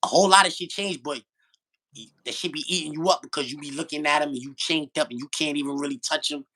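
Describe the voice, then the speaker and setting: A man's voice: on the high side, fast talker. A middle-aged man telling stories in casual, profane street slang, emphatic and repeating himself for effect. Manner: animated, emphatic, repetitive, profane.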